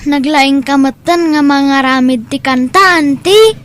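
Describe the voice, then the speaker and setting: A woman with an Ilocano accent playing a poor, dutiful young boy in an audio drama, speaking earnestly in a drawn-out way. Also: high-pitched. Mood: respectful, dutiful.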